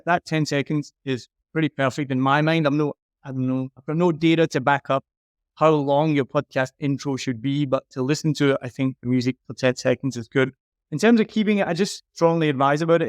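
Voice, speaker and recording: A man's voice: fairly high pitch, no room sound, studio quality. A man giving frank, emphatic advice in a conversational critique, like an audio consultant reviewing someone's work.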